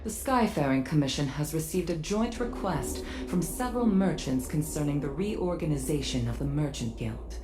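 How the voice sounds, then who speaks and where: A woman playing a composed, diplomatic senior commission leader in a video game, speaking in a measured, formal, polite way. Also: low voice, expressive. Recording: reverberant, under loud background music. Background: loud music.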